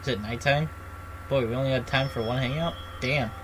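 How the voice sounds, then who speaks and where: A man's voice: medium pitch, fairly quick, fairly bright tone, slight background noise, low-quality recording. A man streaming a video game, reading its narration aloud and commenting casually. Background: music.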